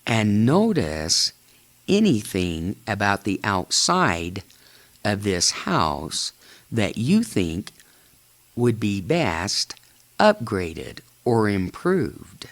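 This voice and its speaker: Very slow low-voiced man guiding meditation. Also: huge pitch swings. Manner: measured, halting phrases with long pauses. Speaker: guided imagery narrator. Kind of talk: slow guided meditation narration.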